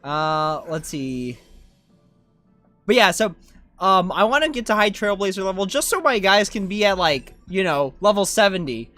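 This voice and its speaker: A game streamer chatting casually through live play. The pitch is medium but moves around a lot, and the delivery is informal and self-questioning. Light game music plays behind the voice. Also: animated, normal speed, fairly bright tone. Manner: informal, self-questioning, often ends with "right?". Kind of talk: casual live gaming commentary.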